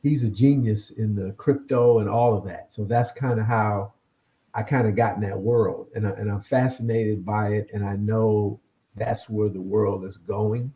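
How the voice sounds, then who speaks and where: A man's voice: fairly low pitch, steady tone, moderate pace, warm tone. A man chatting casually as an interview guest, a creator who often repeats his words. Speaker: guest, comic and film creator.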